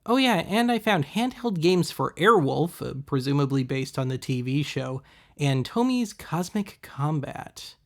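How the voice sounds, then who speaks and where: A man hosting a web series, giving casual, deadpan, wry voice-over commentary on thrift finds. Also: fairly high pitch, measured pace. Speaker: host of a web video series.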